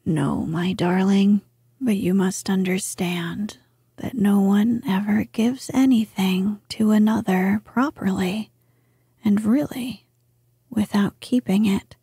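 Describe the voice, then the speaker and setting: Woman whispering as a gentle old grandmother. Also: medium pitch, slow pace, vocal fry, husky, no echo, clean studio sound, exaggerated voice. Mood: calm, tender. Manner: soft whisper. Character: gentle wise old grandmother, queenly and calm. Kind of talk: whispered storybook reading.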